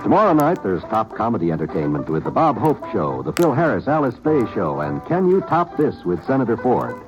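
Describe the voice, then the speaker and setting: Male network announcer, 1950s broadcast; low, animated, polished reads over music. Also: a little fast. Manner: polished, expressive announcer delivery. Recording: dull lo-fi 1950s radio broadcast.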